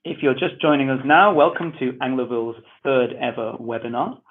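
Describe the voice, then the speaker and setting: Man, Manchester accent, webinar host, relaxed friendly instructions, tinny phone-like sound. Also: mid-range voice, normal speed. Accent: Mancunian English. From England. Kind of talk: webinar welcome and housekeeping. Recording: tinny phone-like online audio.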